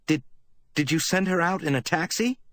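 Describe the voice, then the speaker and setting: A woman's voice: fairly low pitch, animated, normal speed, pitched above the performer's own voice, natural voice. A man reading an audiobook, voicing a worried young woman secretary in a raised, anxious, tart voice.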